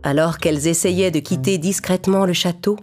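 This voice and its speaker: A woman's voice: lowish voice, fairly even intonation, fairly quick, over music. A woman narrator reading a scripted children's fairy tale.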